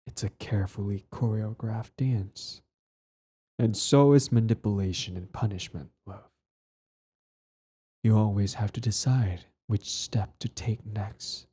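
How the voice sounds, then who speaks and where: A man acting a cold, cruel young prince in an ASMR roleplay, condescending, menacing and deliberate, slipping toward soft whispers. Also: lowish voice, slightly rough, full character voice. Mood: measured, menacing. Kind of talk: ASMR roleplay drama, scripted monologue.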